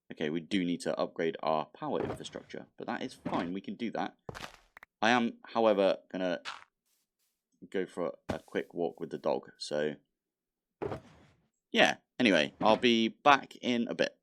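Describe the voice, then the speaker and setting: Low-voiced man narrating gameplay. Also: a bit bright. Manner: chatty, thinking aloud, mild exasperation. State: slightly blocked nose. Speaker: modded Minecraft let's play host. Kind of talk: casual gameplay commentary.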